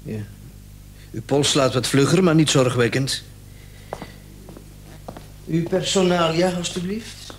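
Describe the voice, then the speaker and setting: A man's voice: fairly high pitch, slow, long pauses. A stern male first-aid attendant in a radio drama. He questions curtly and officiously, his voice ringing in an echoey room with faint noise behind. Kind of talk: Dutch radio play acting.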